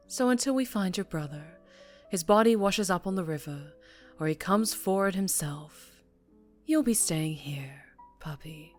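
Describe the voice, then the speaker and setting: Female mafia boss, voiced by an actress, low-pitched and breathy, teasing menace, ASMR roleplay over faint music. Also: lively intonation, measured pace. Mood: calm threatening. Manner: teasing, taunting, softly threatening. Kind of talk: scripted ASMR roleplay monologue.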